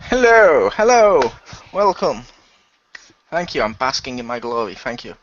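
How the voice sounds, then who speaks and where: A man's voice: medium pitch, big pitch swings, a little slow, a little hiss or hum. A man who co-creates an amateur radio play, chatting casually and jokingly. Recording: dull lo-fi voice track.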